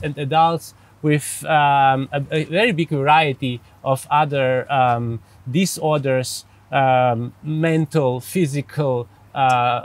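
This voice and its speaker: A man from Austria, a native German speaker with an Austrian accent, gives explanatory interview answers hesitantly, searching carefully for words. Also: a little high. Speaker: interview guest, psychologist and dolphin therapist.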